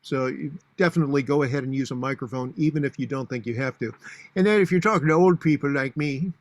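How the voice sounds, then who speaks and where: A man in his sixties with a booming voice, giving practical, matter-of-fact advice on public speaking with frequent fillers. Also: fast talker, no echo. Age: 60s. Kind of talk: instructional talk.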